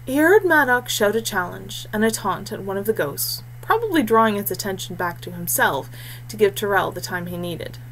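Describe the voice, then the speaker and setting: A woman's voice: medium pitch, fairly bright tone, rough recording. Woman narrating fantasy fiction, faint noise. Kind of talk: scripted fantasy story narration.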